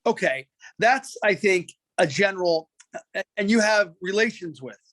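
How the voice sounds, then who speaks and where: Man reading as high-voiced, persistent questioning lawyer. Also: expressive, normal speed, fairly bright tone. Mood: probing. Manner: insistent, literal, clipped questions. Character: persistent, probing male lawyer questioning a witness. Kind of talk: dramatic reading of a deposition transcript.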